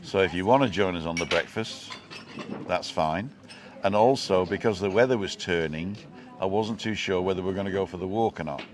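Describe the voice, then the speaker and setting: A man's voice: deep voice, fairly quick, a crowd in the background. A man in his sixties hosting a vlog, chatting casually and teasing with dry jokes. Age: 60s. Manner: joking, teasing storyteller. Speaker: vlog host. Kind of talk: casual chat.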